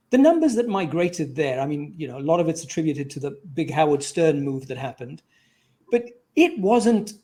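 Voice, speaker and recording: Higher-voiced male interviewer. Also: darkish tone. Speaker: interviewer, host. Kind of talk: conversational interview questioning.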